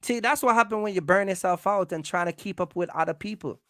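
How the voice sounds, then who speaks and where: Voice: mid-range voice, fast talker, a bit bright, no echo, clean studio sound. A content creator delivering a casual, confrontational rant, repeating points and throwing out rhetorical questions. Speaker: YouTube content creator, trucking vlogger. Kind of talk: casual rant to camera, calling out rivals.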